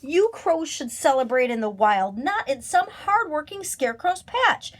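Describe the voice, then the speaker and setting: A woman's voice: high-pitched, measured pace, fairly bright tone, voice pushed up. A woman voicing a stubborn, indignant young boy scarecrow in a children's storybook, folksy and emphatic. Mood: defiant, scolding.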